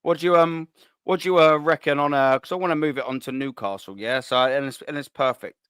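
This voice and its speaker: A man's voice: on the high side, fairly quick, a bit bright, clean tone, no echo, studio quality. A man hosting a football call-in show, chatting casually with lots of slang, swearing and fillers. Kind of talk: casual live-stream chat.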